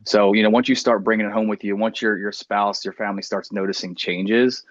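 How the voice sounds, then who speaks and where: A man's voice: fairly low pitch, steady tone, fairly quick, no room sound, highs cut off. A man in his thirties with an American accent, talking earnestly and plainly in a casual roundtable. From New Jersey, United States. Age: 30s. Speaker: podcast panelist.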